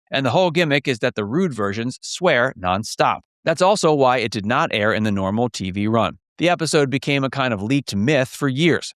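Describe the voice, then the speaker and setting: Male narrator, scripted documentary delivery, mid pitch, very dry studio-like sound. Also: fairly bright tone, no echo. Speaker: narrator of a list video.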